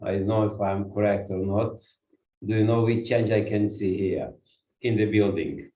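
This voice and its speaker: A man's voice: on the low side, normal speed, muffled recording. Ukrainian accent guy preaching, walks around, keeps asking the church questions, kinda far off and echoey. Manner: walks while preaching, asks the congregation questions. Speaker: guest pastor. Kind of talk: sermon with audience questions. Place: church hall.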